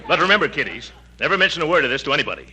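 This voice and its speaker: An actor playing a pompous but kindly middle-aged uncle in 1940s radio comedy, with hesitant hems and hearty chuckles. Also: male voice, on the high side, very animated, rapid-fire, bright, forceful tone, breathy edge, full character voice. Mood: mock dignified. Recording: noisy, crackly old radio broadcast.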